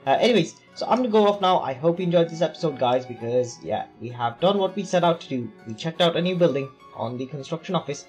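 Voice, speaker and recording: A man's voice: a little high, lively intonation, fast talker, few pauses, darkish tone, faint music behind. A man with a tired, failing voice gives casual, rambling commentary on his city-building game, full of fillers and questions to himself. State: voice going hoarse. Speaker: let's play gamer.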